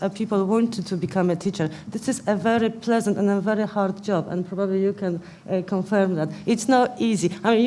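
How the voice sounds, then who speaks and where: A woman from Poland with a Polish accent answers questions as a panellist at a public debate, in earnest, halting phrases. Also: mid-range voice, darkish tone, a little hiss or hum. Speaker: political panellist. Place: live public question-time event indoors.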